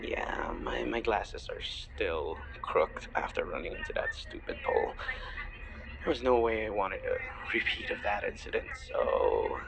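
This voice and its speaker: A man playing an affectionate, playful university student best friend in an intimate roleplay, talking softly and a little hesitantly in a husky voice. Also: lowish voice, huge pitch swings, measured pace, in a whisper, heavily acted. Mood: casual, playful. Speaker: audio roleplay voice actor. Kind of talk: intimate boyfriend ASMR roleplay.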